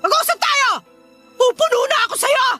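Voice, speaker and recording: A woman's voice: very high voice, animated, moderate pace, pushed and bright, faint music behind, exaggerated voice. An actress with a Filipino accent playing an exasperated, confrontational woman neighbour in a radio drama. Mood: angry, demanding. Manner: indignant, confrontational, demanding.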